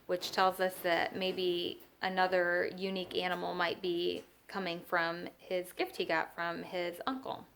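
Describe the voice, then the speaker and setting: A woman's voice: fairly low pitch, fairly bright tone, slight background noise. A woman teacher reading a children's story aloud and adding simple explanatory asides.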